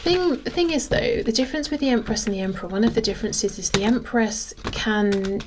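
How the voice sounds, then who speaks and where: A woman's voice: on the high side, fairly quick, slight background noise. A woman giving a casual tarot reading, thinking aloud in a conversational way. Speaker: tarot reader.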